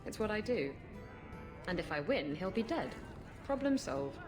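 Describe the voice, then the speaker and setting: A woman's voice: mid-range voice, sing-song, moderate pace, lightly acted. An actress playing a brash, sly, self-assured female rogue in a fantasy video game. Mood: sly, confident. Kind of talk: video game character dialogue. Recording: music behind the voice.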